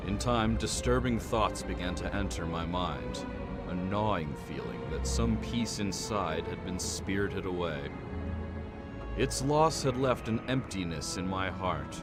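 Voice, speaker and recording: Exiled veteran knight, played by a man: low, solemn, measured storytelling for a sci-fi comic dub, heavy music underneath. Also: lively intonation. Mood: brooding. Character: world-weary exiled Jedi knight, a grave man telling his past. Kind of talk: scripted comic dub, dramatic monologue.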